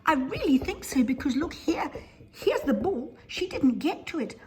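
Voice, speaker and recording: A woman voicing an excited little girl bear cub in a storybook tale. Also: sits high, moderate pace, voice pushed up. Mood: eager.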